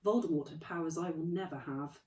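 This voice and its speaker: Calm old wizard, read by a woman, gentle and unhurried, mellow and lively, heard from a distance. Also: low-pitched, natural voice. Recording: echoey room, distant mic.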